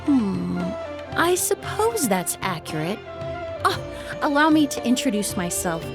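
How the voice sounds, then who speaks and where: Game perfumer, slightly high voice, formal, precise, courteous, faint music. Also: female voice, big pitch swings, a little slow. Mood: calm. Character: poised young woman perfumer, courteous and analytical. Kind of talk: video game dialogue.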